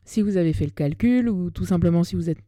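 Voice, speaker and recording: Mellow young Parisian woman podcasting. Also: lowish voice, quick pace, no room sound. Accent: Parisian French. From Paris, France. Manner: chatty, self-deprecating, colloquial. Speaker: podcast host. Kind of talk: personal monologue podcast, semi-scripted narration. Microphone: close podcast microphone.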